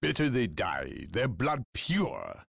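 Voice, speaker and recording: An actor playing a haughty, unyielding inquisitor in a video game, cold, imperious and deliberate. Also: male voice, lowish voice, huge pitch swings, vocal fry, fairly bright tone, no echo, studio quality, heavily acted. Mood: stern reproach. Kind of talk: dramatic video game acting.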